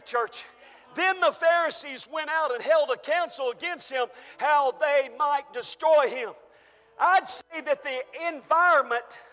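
A pastor preaching a fervent sermon, calling out and shouting to his church, and losing his voice. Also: extremely high pitch, long reverb tail. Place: church hall.

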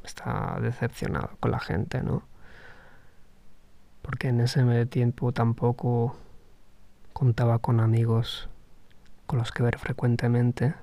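A man's voice: medium pitch, steady tone, slow, vocal fry, long pauses, dark tone, no room sound. A man hosting a podcast, talking through a personal reflective monologue in a hesitant, thoughtful way with frequent restarts. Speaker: podcast host.

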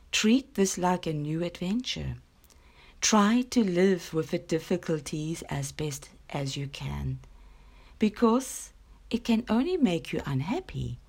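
A woman voicing a soft, comforting mother in a storybook reading, soothing and coaxing. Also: lowish voice, breathy edge, exaggerated voice.

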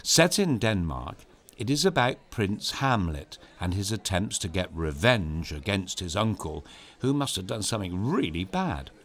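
A man's voice: low-pitched, very animated, a little slow, a bit bright. A man hosting a children's story show, reading a script in a playful, theatrical and explanatory way. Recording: clear music behind voice.

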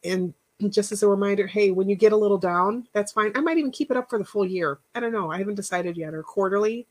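A woman hosting a casual live chat, rambling and encouraging, thanking viewers by name, with a stuffy nose and a voice that is going hoarse. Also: mid-range voice, quick pace. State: losing her voice. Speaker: podcast host.

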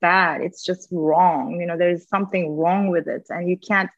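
Woman, gravelly edge, earnest, repeats words for emphasis, dry close sound. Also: lowish voice, steady tone, normal speed, no echo, lo-fi sound. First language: Turkish. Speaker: podcast guest, linguist. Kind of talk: candid personal conversation.

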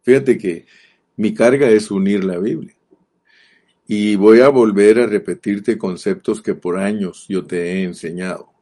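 A middle-aged man from Guatemala with a Guatemalan accent delivers a sermon in an earnest, emphatic way. His voice sits very low and sounds dark and mellow. He often repeats a word slowly to drive a point home. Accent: Guatemalan Spanish. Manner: earnest, emphatic, repeats key words, slows down to stress them. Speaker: preacher.

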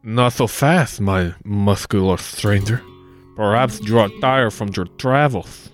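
Casting: male actor as creepy monastery priest, insistent, leering, drawn-out delivery, for comic radio play with music underneath. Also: lowish voice. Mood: leering, secretive. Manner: suggestive, oily, drawn-out delivery. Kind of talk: 1940s-style radio play acting.

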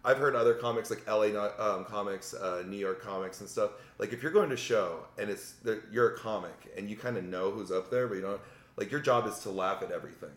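A man hosting a casual podcast chat with quick, profane, encouraging remarks about doing comedy. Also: fairly low pitch. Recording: roomy echo.

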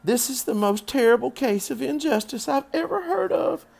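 An indignant eight-year-old farm girl from a storybook, acted out by a man. The voice is pitched far up and shrill. She pleads and protests with great drama. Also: lively intonation, moderate pace, gravelly, a little mellow, full character voice.

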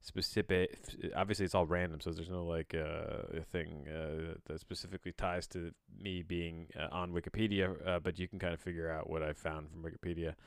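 A man hosting a solo podcast, chatting in a rambling, halting way, often backtracking mid-sentence with a bemused, self-deprecating manner. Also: low voice, flat delivery, gravelly, no echo, clean studio sound. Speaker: podcast host.

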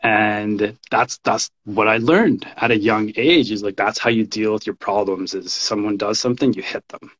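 A man in his thirties with a fairly deep voice talks casually about his own life. His delivery is informal and halting, as in a relaxed interview. The recording is a little dull and lo-fi. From Canada. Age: 30s. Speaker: podcast guest, men's coach and shamanic practitioner. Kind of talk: casual personal storytelling in an interview.

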